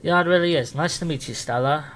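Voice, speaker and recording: A man playing a quirky time-travelling adventurer and helpful guide, halting as he thinks aloud in improvised role-play. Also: a little high, fairly bright tone, slight background noise, close to an everyday voice, poor audio. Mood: friendly, musing.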